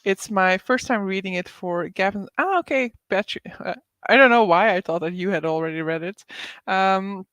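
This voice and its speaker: A woman's voice: medium pitch, normal speed, a bit bright, no echo. Chatty woman livestreaming about books. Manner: chatty, laughs often, many likes and ums. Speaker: booktuber hosting a live reading sprint. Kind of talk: casual livestream chat, reading viewer comments aloud.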